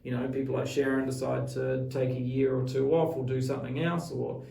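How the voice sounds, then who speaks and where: A man's voice: medium pitch, level tone, fairly quick, vocal fry, dark tone, a little hiss or hum. A middle-aged man with a New Zealand accent answering interview questions thoughtfully, often repeating words as he forms his point. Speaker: radio executive, interview guest. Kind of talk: long-form interview conversation. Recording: roomy echo, distant from mic.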